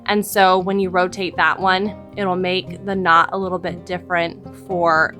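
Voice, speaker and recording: A woman in her thirties, a little hoarse from losing her voice, explaining a craft project step by step in a friendly voice-over. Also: on the low side, little pitch movement, measured pace, a bit bright. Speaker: crafting channel host. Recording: voice-over with background music.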